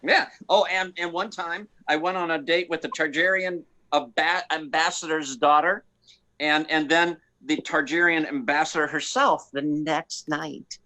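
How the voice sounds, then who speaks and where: Man as bumbling, bright-voiced slacker pilot. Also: fairly high pitch, steady tone, rough recording. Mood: sheepish boasting. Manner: halting, stammering, bumbling. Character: hapless, insubordinate, hard-drinking male slacker space pilot. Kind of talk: comic radio play acting.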